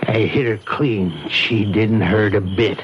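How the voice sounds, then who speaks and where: A man playing a simple, fearful rustic cabbie and hired killer, halting and plain-spoken, in a radio drama. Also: on the low side, lo-fi sound. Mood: nervous, earnest. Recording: noisy old radio drama recording.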